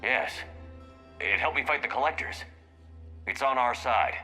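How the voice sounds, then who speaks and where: A resolute soldier in command. He is terse and assertive, with a very bright, forceful voice ringing in a long reverb. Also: mid-range voice, unhurried, faint music behind. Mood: firm, questioning. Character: resolute male military commander, direct and trusting of allies. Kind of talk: video game acting.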